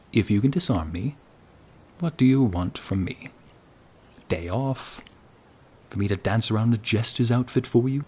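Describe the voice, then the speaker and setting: A man's voice: lowish voice, a little mellow, husky, heavily acted. A man acting in an audio roleplay as an uptight, snooty combat instructor who banters bossily and flirtatiously with a royal pupil. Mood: teasing, wry. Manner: mildly bossy, bantering, flirtatious.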